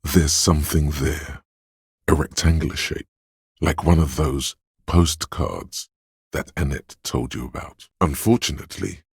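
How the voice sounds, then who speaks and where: Very deep, husky inner voice. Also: male voice, no room sound, clean studio sound, full character voice. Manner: wry, observant, dryly teasing. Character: inner perceptive voice, observant adult male. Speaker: voice actor. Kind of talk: second-person game narration.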